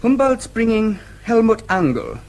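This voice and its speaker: An actor playing a brisk, dry-humoured English diplomat and protective brother in a radio drama, with an English accent. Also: male voice, high-pitched, big pitch swings, a little mellow, exaggerated voice. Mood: brisk, affable. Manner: brisk, explanatory, dryly teasing. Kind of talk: BBC radio drama acting.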